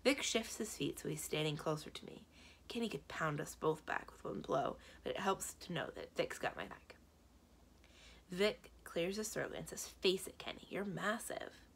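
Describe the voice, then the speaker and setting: A woman's voice: low-pitched, expressive, normal speed, gravelly. A woman reading a children's book aloud as a library storyteller, narrating expressively.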